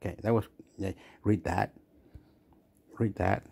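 A man's voice: lowish voice, fairly even intonation, a little slow, creaky, a little mellow, breathy edge. A man with an Australian accent gives a rambling commentary over a phone screen recording, repeating himself and restarting his words. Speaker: vlogger.

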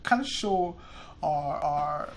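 A man in his thirties from Nigeria, a medical resident hosting a casual podcast, talking in a relaxed, rambling way with restarts, recorded at a desk mic in a small office. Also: on the high side, takes it slowly, slight background noise. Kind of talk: casual chat. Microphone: desk microphone.

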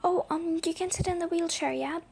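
A young woman voicing a brisk, kindly female hospital doctor in an amateur roleplay. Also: very high voice, creaky, darkish tone. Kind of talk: amateur voiced toy-app roleplay.

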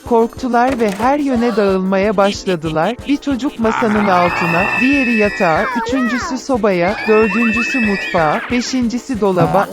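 A woman reading a bedtime fairy tale aloud in a calm, even storytelling manner. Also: mid-range voice. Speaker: narrator. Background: background music.

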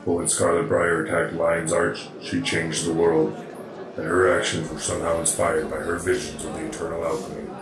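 Very deep preachy golem acted by a man. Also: completely flat delivery, moderate pace, a crowd in the background, natural voice. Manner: solemn, formal, pompous. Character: preachy, literal-minded golem robot shaped like an Asura. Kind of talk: fan audio drama acting. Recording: echoey, with loud music.

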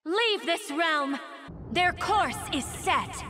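A stern sorceress, issues commands in a very high voice. Her theatrical game delivery is measured and animated over music. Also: exaggerated voice. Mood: stern, commanding. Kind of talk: theatrical video game declamation.

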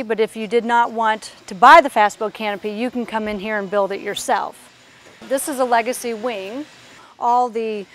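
A woman's voice: medium pitch, slight background noise. A woman guiding a walking tour of an aircraft workshop, explaining parts as she points them out. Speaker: factory tour guide. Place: large aircraft workshop indoors.

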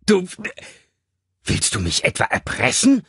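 A man's voice: mid-range voice, wildly swooping pitch, measured pace, breathy edge, no room sound, clean studio sound, pitched above the performer's own voice, heavily acted. A man voicing a nervous, hapless young man who blurts out baffled questions in an audiobook. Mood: alarmed.

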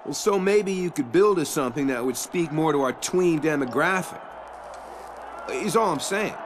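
Highish voice, young American man as a trendy band member, whiny, casual, condescending, game cutscene, noisy ambience. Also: animated, moderate pace, lightly acted. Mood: placating, patronizing. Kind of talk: animated video game acting.

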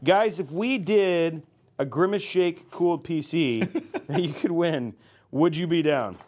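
A man's voice: a little high, a little slow, slight background noise. A man in his thirties hosting a livestream from a studio while he builds a PC, playfully reading out guesses. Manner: playful, reads out guesses with excitement. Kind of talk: casual livestream chat.

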